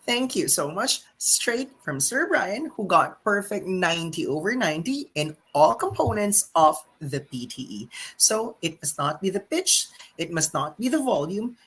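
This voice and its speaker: A woman hosts a live exam-prep session, taking questions from viewers. Her voice is husky and sits a little low. She talks with lively, swooping emphasis, like a teacher pressing her points home. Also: measured pace, hardly any pauses, rough recording. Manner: animated, emphatic teacherly delivery. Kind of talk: live stream Q&A reading viewer comments.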